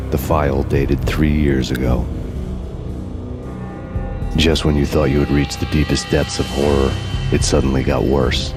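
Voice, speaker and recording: An American man playing a brooding, world-weary noir avenger, narrating deadpan in a hardboiled game. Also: a bass voice.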